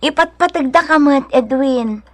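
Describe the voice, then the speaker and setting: A woman's voice: high voice, a little slow. An actress playing a devout, caring, anxious young mother in an Ilocano radio drama, with tender, earnest, emotive delivery. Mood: grateful, warm.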